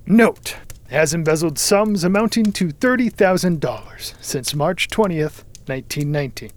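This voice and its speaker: A man's voice: on the high side, lively intonation, moderate pace, lightly acted. A man voicing a sinister, eccentric older man, coolly menacing and theatrical, in a storybook reading. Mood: coolly businesslike.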